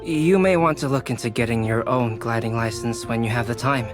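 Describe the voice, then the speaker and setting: Guy doing calm polite anime game dude, medium voice, matter of fact, music behind. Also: mid-range voice, fairly quick, natural voice. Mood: dryly advising. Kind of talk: anime video game voice acting.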